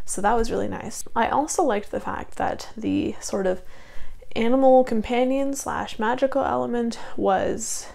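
Young woman, casual book vlogger, very gravelly from a cold, rambling delivery. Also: fairly high pitch, normal speed, darkish tone. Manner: rambling, many ums and restarts. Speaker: book reviewer. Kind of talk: casual book review vlog. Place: small room in front of bookshelves.

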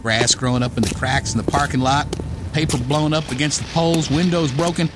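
A man's voice: a little high, steady tone, a little fast, background noise, close to an everyday voice. An actor playing a cocky, whiskey-drinking American redneck survivor, telling his story in folksy slang in a radio play. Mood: folksy, wry. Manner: folksy, slangy drawling wisecracks. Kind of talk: radio play monologue narration.

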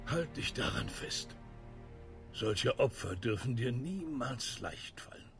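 Stern old mentor, gravelly, slow, game music. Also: male voice, mid-range voice, expressive. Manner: stern, measured. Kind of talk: German video game dub. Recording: game audio with music behind.

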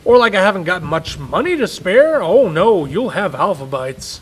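A man's voice: sits high, big pitch swings, moderate pace, breathy edge, voice pushed up, full character voice, low-quality recording. A man playing a harried single father in a horror story reading, worn down, exasperated and emotional.

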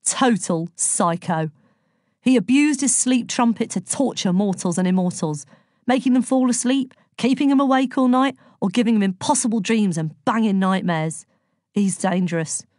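A woman's voice: mid-range voice, moderate pace, a little mellow, dry recording, studio quality, natural voice. Woman doing Hermes, a cheeky, slangy messenger god. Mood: dramatic warning. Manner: slangy, breezy, emphatic. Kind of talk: audiobook character dialogue.

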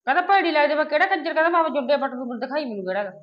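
An actress as a blunt, indignant Punjabi mother in an amateur family drama. She complains quickly in a high voice. The recording is dull and muffled. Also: animated. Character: indignant, outspoken Punjabi mother of a married daughter. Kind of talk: amateur short-film family drama acting, conversational.

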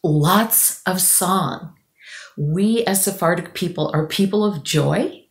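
A woman guest author telling stories in a podcast interview, with expressive, deliberate phrasing and emphatic pauses. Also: lowish voice. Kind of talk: conversational storytelling in a podcast interview. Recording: roomy, echoing podcast audio.

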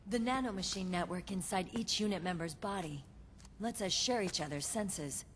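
A woman's voice: mid-range voice, normal speed, slight background noise, lightly acted. An actress playing a confident, stern young female squad commander in a video game, with a firm, assured delivery. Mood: proud. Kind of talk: video game cutscene acting.

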